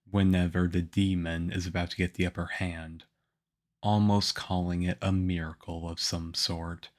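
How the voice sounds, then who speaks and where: A man playing a stern, aloof young swordsman mentor in a roleplay for the listener, with a harsh, measured, deadpan manner. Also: low-pitched. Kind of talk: ASMR roleplay acting addressed to the listener.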